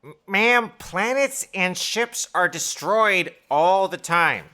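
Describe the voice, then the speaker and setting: Anxious by-the-book underling: lowish, bright, halting, deferential, faint noise. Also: female voice, animated, heavily acted. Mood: nervous, deferential. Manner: stammering, deferential. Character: nervous, rule-bound assistant to a muddled boss.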